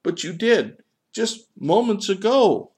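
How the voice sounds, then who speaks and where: A storybook gull, acted out by a male narrator, proud and easily flustered. The voice is pushed higher and louder than plain narration, with emphatic, defensive protest and a pleading edge. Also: normal speed, vocal fry. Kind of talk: storybook character dialogue.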